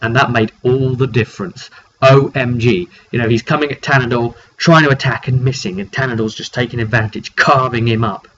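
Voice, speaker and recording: A man's voice: medium pitch, fairly even intonation, a little fast, fairly bright tone. Dull, lo-fi male game master storytelling. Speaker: game master recounting a session. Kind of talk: casual storytelling of a tabletop roleplay session. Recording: dull lo-fi home recording, faint background noise.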